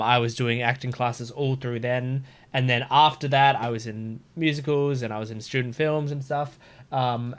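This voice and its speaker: A man streaming a video game, reacting in a casual, animated, informal way with some swearing. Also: mid-range voice. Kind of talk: casual gameplay commentary.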